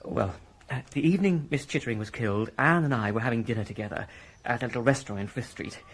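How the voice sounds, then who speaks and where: A man's voice: medium pitch, quick pace, breathy edge. An actor playing an agitated, well-bred British man under suspicion in a radio drama, hesitant and indignant. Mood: sheepish. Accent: British English.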